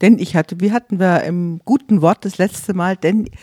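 A man discussing biblical callings in a lively, explanatory way, with a few hesitations. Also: sits high, normal speed, no echo, studio quality. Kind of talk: conversational religious podcast discussion.

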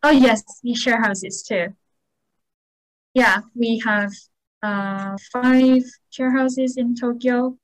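A woman with a Japanese accent answering casually in an interview, in careful, halting second-language English with polite phrasing. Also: fairly high pitch, fairly even intonation, poor audio. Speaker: real estate agent, interview guest. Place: on a video call.

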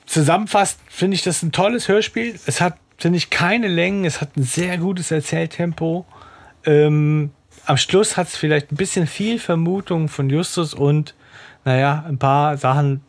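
A man with a somewhat high voice gives a relaxed summing-up in a podcast conversation. He talks casually and puts his opinion in plain words. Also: moderate pace. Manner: brief agreeing interjections, sums up opinions. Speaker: podcast co-host.

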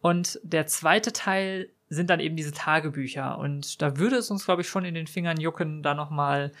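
A man's voice: fairly high pitch, normal speed, a bit bright. A man speaking as a podcast guest, explaining his audio drama work in an interview.

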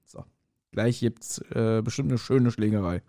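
A man's voice: lowish voice, measured pace, dark tone. A man co-hosting a podcast, casually discussing an audio drama in a colloquial, speculative way. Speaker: podcast co-host.

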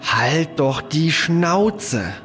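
An actor playing an exasperated, sarcastic fugitive who swears and mutters, in a sci-fi audio drama. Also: male voice, on the high side, big pitch swings, extremely slow, over music, heavily acted. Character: harried, cursing male fugitive who peppers in French.

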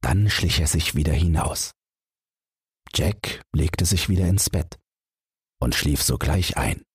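A man's voice: extremely low pitch, lively intonation, slow, slightly rough, no echo, clean studio sound. A man narrating a scripted bedtime fairy tale as an audiobook reader.

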